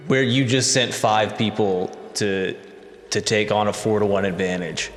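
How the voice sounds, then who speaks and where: A man's voice: on the low side, unhurried, long reverb tail. A man talking casually as a player in a tabletop role-playing game, slipping between narrating and speaking in character.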